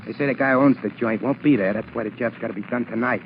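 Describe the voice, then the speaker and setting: An actor playing an eager, nervy safecracker with earnest, slangy talk in a 1940s radio drama. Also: male voice, medium pitch, fast, vocal fry, close to an everyday voice. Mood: eager, anxious. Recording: muffled, noisy 1940s radio recording.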